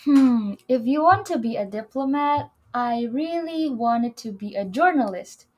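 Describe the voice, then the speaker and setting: A woman's voice: a little high, lively intonation, a little slow, a little mellow, dull audio. Young woman co-hosting. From Indonesia. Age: young adult. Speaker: student podcast co-host. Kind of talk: casual scripted chat.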